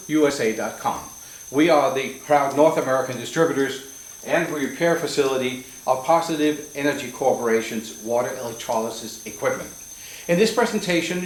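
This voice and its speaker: A man's voice: medium pitch, slight background noise, poor audio. A middle-aged man from Denmark with a Danish accent, a native Danish speaker, delivering a scripted sales presentation. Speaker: business owner and presenter.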